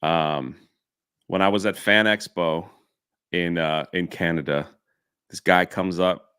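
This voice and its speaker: Bright-voiced laid-back co-host, says bro. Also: male voice, low voice, fairly even intonation, takes it slowly, smooth, no room sound, clean studio sound. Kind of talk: casual live-stream chat.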